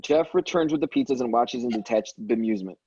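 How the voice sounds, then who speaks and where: Mellow man reading stage directions. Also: medium pitch, fast, slightly rough, no room sound, muffled recording. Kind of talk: scripted narration at a table read.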